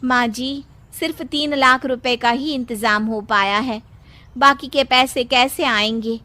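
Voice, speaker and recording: A dutiful young village wife acted by a woman from a cartoon story. She speaks respectfully and earnestly, in a high, very bright voice. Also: measured pace. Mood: worried. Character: dutiful, determined young village daughter-in-law.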